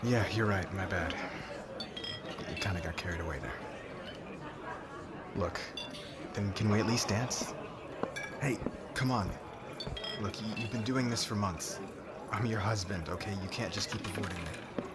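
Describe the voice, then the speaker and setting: A man acting a slow, low-voiced lovelorn young warrior. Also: a loud crowd, heavy background noise, natural voice. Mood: pleading, annoyed. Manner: halting, informal, exasperated delivery with sighs. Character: brash, lovelorn young warrior groom, drinking at his wedding. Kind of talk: ASMR roleplay audio drama acting.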